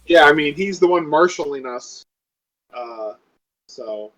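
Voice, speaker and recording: High-voiced man on a dull microphone. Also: animated, slow pace, a bit bright. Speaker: tabletop role-playing game participant. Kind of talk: casual game talk.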